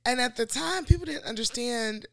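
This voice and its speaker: A woman's voice: a little high, expressive, moderate pace, gravelly. A young woman co-hosting a podcast, talking casually and thoughtfully, reflecting with many fillers. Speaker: podcast co-host. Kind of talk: casual chat.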